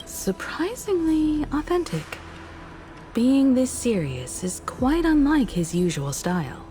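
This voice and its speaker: A woman voicing a composed, gently teasing woman adventurer in a video game, with a poised, gentle manner. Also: high voice, sing-song, takes it slowly, a little hiss or hum, exaggerated voice. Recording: loud music under the voice.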